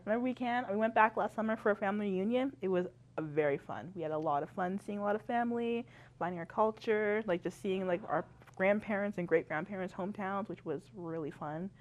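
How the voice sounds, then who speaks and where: A woman's voice: mid-range voice, brisk, highs cut off. A young woman from Canada, a university student, talking as a podcast guest in chatty style and laughing often. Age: young adult. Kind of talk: casual chat.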